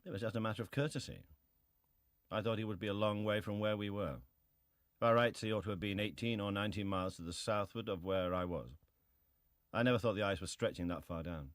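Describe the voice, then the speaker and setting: An actor playing a sea captain who gives restrained, matter-of-fact testimony in a dramatized historical hearing. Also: male voice, fairly low pitch, a little fast, no echo, studio quality, natural voice. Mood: terse, restrained.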